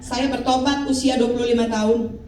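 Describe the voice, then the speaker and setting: A high-pitched woman in her fifties delivers a lively sermon and keeps drawing answers from her congregation. Her voice rings through a big, echoey hall, picked up by a mic some distance away. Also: a little fast. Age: 50s. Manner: lively preaching with call-and-response. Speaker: pastor.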